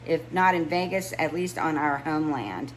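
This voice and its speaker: A woman's voice: deep voice, expressive, normal speed, poor audio. A woman voicing a sassy, teasing female best friend in a romance audiobook, with emphatic delivery. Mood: teasing, insistent. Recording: noticeable background noise.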